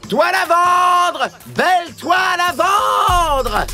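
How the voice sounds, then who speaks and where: A man's voice: very high-pitched, expressive, extremely slow, pushed and bright, raised voice, heavily acted. An actor playing a wry, mischievous trickster townsman in a children's cartoon, sly and incredulous. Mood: hawking, proud. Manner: sly, incredulous, muttering asides. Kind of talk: French children's cartoon acting.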